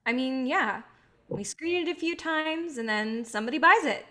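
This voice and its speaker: A woman's voice: high-pitched, animated, moderate pace, fairly bright tone. A woman playing an idealistic, patient filmmaker in a staged play reading, speaking in an earnest, coaxing way. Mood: placating.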